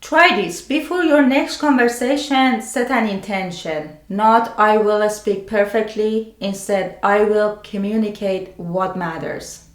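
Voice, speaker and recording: A woman's voice: medium pitch, a little slow, few pauses. Non-native English-speaking woman in an echoey room. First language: a language other than English. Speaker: video host sharing self-help advice. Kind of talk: scripted personal explainer to camera. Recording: roomy, echoing sound.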